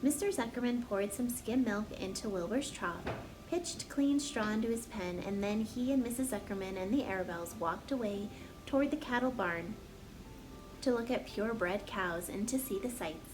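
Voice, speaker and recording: A woman's voice: medium pitch, normal speed, background noise. A woman narrating a children's story as a storyteller, reading aloud expressively.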